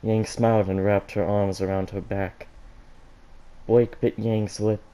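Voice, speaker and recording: A man reading fan fiction aloud as an amateur, halting and stumbling over words, with joking asides. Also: fairly low pitch, little pitch movement, warm tone. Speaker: reader of fan fiction for an online audience.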